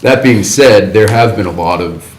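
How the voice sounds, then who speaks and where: Deep-voiced man giving script feedback. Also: moderate pace. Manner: thinks aloud, rambling with restarts. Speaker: writers' group member giving feedback. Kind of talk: casual critique discussion. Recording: roomy table recording, faint background noise.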